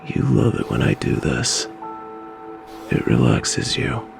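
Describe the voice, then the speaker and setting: A man playing a tender, guilt-torn lover in an intimate audio roleplay, speaking in a hushed, halting, soothing way. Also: on the low side, huge pitch swings, creaky, full character voice. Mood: fond, soothing. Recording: music under the voice.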